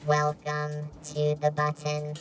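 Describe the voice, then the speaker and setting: A woman hosting a dating show from off camera, asking questions in a flat, deadpan way. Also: low voice, takes it slowly, loud music behind the voice.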